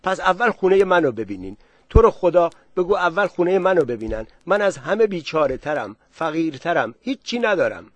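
A man's voice: a little high, expressive, a little mellow, dry recording, pitched above the performer's own voice. A man doing a frightened poor village man, pleading. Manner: pleading, raised voice.

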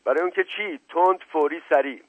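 Casting: male narrator playing storybook police interrogator, loud, bullying, impatient, raised husky voice, thin radio-like recording. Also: mid-range voice, sing-song, pitched above the performer's own voice. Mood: barking, impatient. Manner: barks short commands, repeats urgent demands. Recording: roomy lo-fi radio-like narrow band.

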